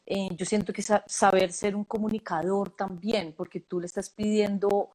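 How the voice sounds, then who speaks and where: A woman, a casting director giving relaxed, thoughtful interview answers with many fillers and restarts. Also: medium pitch, normal speed, poor audio.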